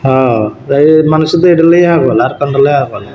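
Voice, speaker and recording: Fast-talking man on a roomy phone call. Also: mid-range voice. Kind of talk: casual chat on a phone call. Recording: roomy, faintly noisy phone call recording.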